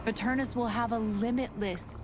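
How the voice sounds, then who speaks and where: An actress playing a cold, calculating female vampire, imperious and deliberate, narrating a game cutscene. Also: a little high, fairly even intonation, heavy background noise, lightly acted. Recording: loud music under the voice.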